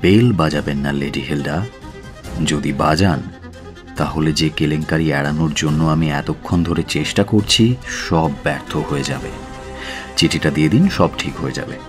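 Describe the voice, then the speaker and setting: Calm master detective, deep voice, measured delivery, commanding, radio play, background music. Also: male voice. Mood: firm, persuasive. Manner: measured, deliberate, with drawn-out hesitations. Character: shrewd, composed male detective, calm and commanding. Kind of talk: radio drama acting.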